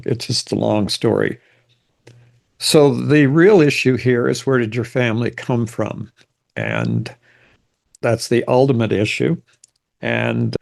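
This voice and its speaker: A man's voice: lowish voice, gravelly, a little mellow. An older man giving an informal lecture as a genealogy presenter, explaining in a reflective, rambling way.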